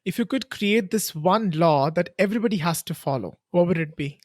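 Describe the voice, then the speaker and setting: A podcast host who calmly asks short interview questions and gives brief backchannels. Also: medium pitch, brisk, no room sound, clean studio sound. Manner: calm, brief prompts and soft backchannels.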